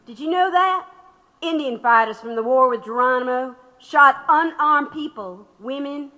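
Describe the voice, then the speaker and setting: An actress playing a defiant, embittered political activist under interrogation in an audio drama. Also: female voice, high voice, a little slow, bright, forceful tone, long reverb tail. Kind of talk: radio drama acting.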